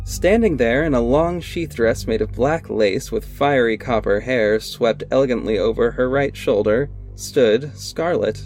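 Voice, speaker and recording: An actor playing a dry, sarcastic young private investigator and vigilante, narrating an audio drama in first person. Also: male voice, medium pitch, darkish tone.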